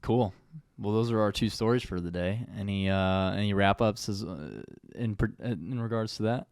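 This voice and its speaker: A man's voice: lowish voice, moderate pace, darkish tone, smooth, dry recording, studio quality. A young man co-hosting a casual podcast who agrees readily and tells stories in an easygoing way. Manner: easygoing, agreeable, with many yeahs. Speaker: podcast co-host. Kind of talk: casual conversation.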